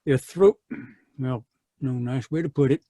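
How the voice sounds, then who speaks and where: An actor playing a folksy, easygoing country sheriff in an old-time radio drama, hemming and hesitant. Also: male voice, mid-range voice, dark tone, dry recording, studio quality, natural voice. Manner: halting, hemming.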